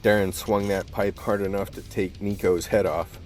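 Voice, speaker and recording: An actor playing a weary, blunt, hard-boiled cop narrating a gritty ordeal in a scripted radio play. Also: male voice, fairly low pitch, level tone, moderate pace, lightly acted. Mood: grim. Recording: loud music under the voice.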